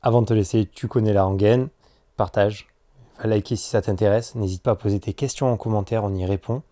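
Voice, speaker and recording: A man hosting a coaching podcast, with a fairly low, warm voice. He talks to his listeners in a friendly, direct way. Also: fairly even intonation, fairly quick. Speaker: podcast host. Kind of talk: podcast interview.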